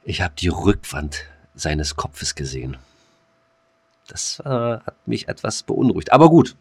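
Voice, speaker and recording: A man's voice: low voice, very animated, unhurried, vocal fry, darkish tone, husky, dry recording. A man co-hosting a joking podcast chat, rambling with many fillers and restarts. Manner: rambling, full of ums and restarts. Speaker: podcast co-host.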